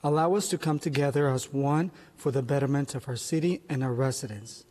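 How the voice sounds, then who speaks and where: Husky man giving a solemn prayer. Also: mid-range voice, a little mellow. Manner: slow, solemn, measured. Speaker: councilmember. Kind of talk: spoken prayer. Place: council chamber. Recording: long reverb in a council chamber.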